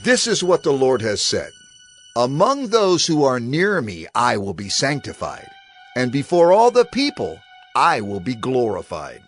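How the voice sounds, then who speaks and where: A man's voice: mid-range voice, wildly swooping pitch, a little slow. An actor playing a commanding male prophet in a dramatized scripture reading, solemn and authoritative. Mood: grave. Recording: background music under the voice.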